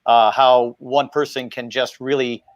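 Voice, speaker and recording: A man's voice: mid-range voice, flat delivery, unhurried, no room sound, muffled recording. A middle-aged man talking reflectively as a panelist on a video call, wearing wired earphones. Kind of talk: reflective conversational talk. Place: dining room at home, video call.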